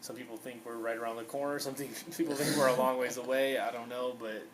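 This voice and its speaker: A man's voice: mid-range voice, steady tone, fast, crowd noise. A young man as a podcast guest in a small basement room, making his point earnestly and repeating himself, heard through a camera mic across a table. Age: young adult. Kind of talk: casual chat. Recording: noisy, hissy camera audio.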